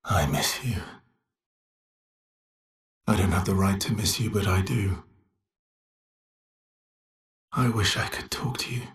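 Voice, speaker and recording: Verso, a very husky, weary expeditioner. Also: male voice, sits low, slow, pauses often, no echo, studio quality, full character voice. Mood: grieving, remorseful. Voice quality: husky, breathy. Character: Verso, weary guarded male expeditioner with quiet regret. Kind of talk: video game voice lines, dramatic acting.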